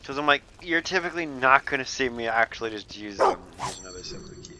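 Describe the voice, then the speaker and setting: A man's voice: mid-range voice, expressive, quick pace, noisy background. A young man casually commenting on a game he is playing, rambling and swearing, laughing often. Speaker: gameplay commentator. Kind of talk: casual let's play commentary.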